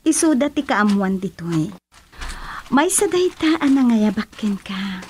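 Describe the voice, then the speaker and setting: A woman's voice: mid-range voice, sing-song, takes it slowly, a little mellow, slight background noise. A woman playing a welcoming princess of a spirit world in a radio drama, coaxing and reassuring as she speaks.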